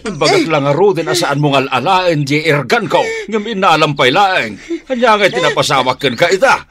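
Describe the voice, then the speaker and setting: A man's voice: a little high, animated, moderate pace, crowd noise, close to an everyday voice. A man with an Ilocano accent playing a flustered, fussing older village man, full of exclamations, in a comedy radio drama. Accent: Filipino, Ilocano. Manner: exclaiming, fussing, swooping delivery.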